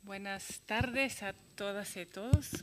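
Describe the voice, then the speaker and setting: Oradora con acento italianizado y muchas pausas. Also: female voice, mid-range voice, background noise. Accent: Spanish with Italian touches. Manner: hesitant, many fillers and self-corrections. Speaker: invited speaker. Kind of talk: conference speech.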